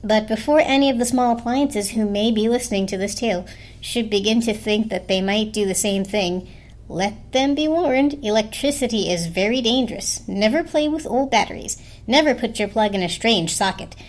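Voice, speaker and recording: A woman's voice: a little high, normal speed. A woman narrating a children's story as a dramatic storybook reading. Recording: music behind the voice. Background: music.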